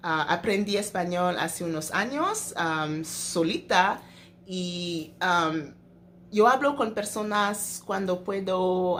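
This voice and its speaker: Slow, hesitant young woman vlogger. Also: lowish voice, slight background noise. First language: English. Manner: hesitant, lots of fillers and restarts. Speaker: travel vlogger. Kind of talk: casual talk to camera.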